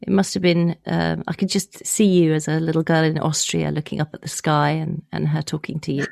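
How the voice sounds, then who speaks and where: A woman hosts a podcast interview with a low, gravelly voice that has plenty of vocal fry. She asks encouraging questions, and the sound is dry and close with almost no room around it. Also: level tone, brisk, darkish tone, dry recording. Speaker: podcast host. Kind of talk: interview hosting.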